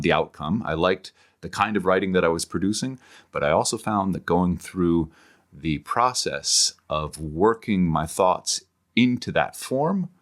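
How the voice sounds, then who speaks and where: Deep-voiced middle-aged man, reflective academic. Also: a bit bright. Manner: reflective, halting, circles back and rephrases as he explains. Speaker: interview guest, humanities professor and author. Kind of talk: thoughtful long-form podcast interview.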